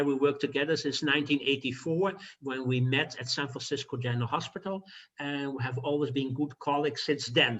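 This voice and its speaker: An older man with a Dutch accent, a physician giving an online lecture, talking in a halting way with many fillers and restarts. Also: fairly high pitch, fairly bright tone, lo-fi sound. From Netherlands. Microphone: video call.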